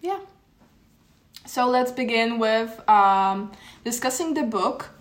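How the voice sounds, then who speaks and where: A teenage girl from Poland chats casually as a podcast co-host. She hesitates and restarts, filling gaps with "um" and "like", and her slightly high voice rings in an echoey room. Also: animated, slow. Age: teens. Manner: hesitant, many fillers and restarts. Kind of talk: casual chat.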